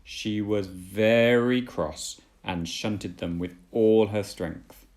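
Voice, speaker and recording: A man reading a children's storybook aloud as a dad would, with deliberate, dramatic storytelling. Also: lowish voice, fairly even intonation, a little mellow.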